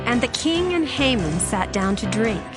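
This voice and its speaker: A woman narrating a dramatized scripture reading in a measured, solemn way. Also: fairly high pitch, sing-song, music in the background.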